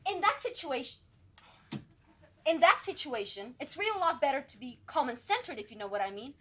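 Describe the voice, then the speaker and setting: A young woman in a school stage play, playing a guarded actress at an audition who speaks dryly, then quickly and emphatically. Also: sits high, big pitch swings, a bit bright. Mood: emphatic.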